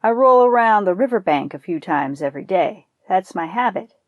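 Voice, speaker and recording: A woman's voice: mid-range voice, animated, normal speed, no room sound, muffled recording, close to an everyday voice. A woman voicing a proud, long-suffering male buffalo in a folktale, with an emphatic, defiant delivery. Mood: confident.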